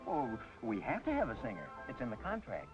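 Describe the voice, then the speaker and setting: A man's voice: medium pitch, wildly swooping pitch, fairly quick, dull audio, music in the background. An actor playing an assertive male club boss in old radio noir style. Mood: persuasive.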